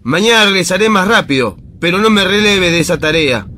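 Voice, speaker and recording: A man's voice: sits high, animated, a little fast, pushed and bright. A man playing an earnest, lovestruck young conscript soldier in a radio play, sincere and deferential. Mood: deferential, pleading. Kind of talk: amateur radio play acting. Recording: faint background noise.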